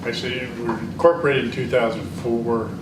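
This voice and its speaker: A man's voice: mid-range voice, steady tone, brisk. A man addressing a city council as a guest, speaking casually. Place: large echoey council chamber. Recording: echoey hall, distant mic, loud noise.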